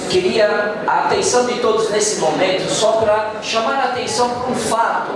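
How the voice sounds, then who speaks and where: A man giving a slow, emphatic public address to a congress audience, calling for their attention. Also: high-pitched. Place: large hall with audience. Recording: echoey hall, far from mic, crowd behind.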